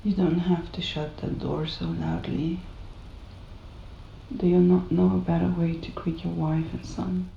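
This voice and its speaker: A woman's voice: fairly low pitch, steady tone, a little slow, creaky, reverberant, highs cut off, noisy background. A woman playing a hurt, pleading wife and protective mother in a cinematic audio drama. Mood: anxious pleading. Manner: pleading, wounded.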